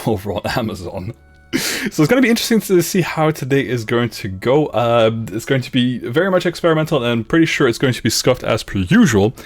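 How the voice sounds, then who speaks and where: Giggly Belgian-Dutch male streamer over music. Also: medium pitch. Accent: Belgian-Dutch (Flemish-leaning). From Luxembourg, of Dutch parents. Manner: giggly, rambling, repeats phrases. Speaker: livestreamer, VTuber host. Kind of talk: casual chatty livestream, reading chat. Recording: music playing behind the voice.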